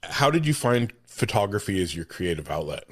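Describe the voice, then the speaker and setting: A man's voice: on the low side, very animated, moderate pace, slightly rough, no room sound. A man from Oregon hosting a casual interview in an easygoing way. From United States, Oregon. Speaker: podcast host.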